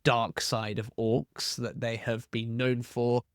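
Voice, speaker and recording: A man hosting a tabletop roleplaying tips video, explaining in a measured, semi-scripted way and stressing key terms. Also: mid-range voice, level tone, dry recording, clean studio sound.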